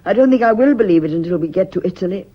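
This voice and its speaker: Actress as a breathy, yearning woman. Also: mid-range voice, animated, racing, muffled recording, natural voice. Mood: yearning relief. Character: yearning, guilt-ridden younger woman, a secret lover. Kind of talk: radio drama acting.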